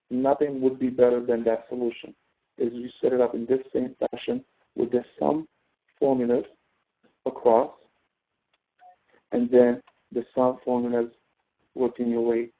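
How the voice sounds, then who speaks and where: A man tutoring step by step through a spreadsheet task, explaining and often checking that the listener follows. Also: mid-range voice. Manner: explanatory, often checks understanding with tag questions. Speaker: instructor, tutor. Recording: narrow tinny phone-like line.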